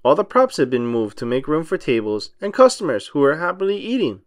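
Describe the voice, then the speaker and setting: Animated higher-pitched man reading a storybook. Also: fairly quick, no echo, studio quality. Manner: expressive, acts out dialogue. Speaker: storybook reader. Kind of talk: read-aloud children's story narration.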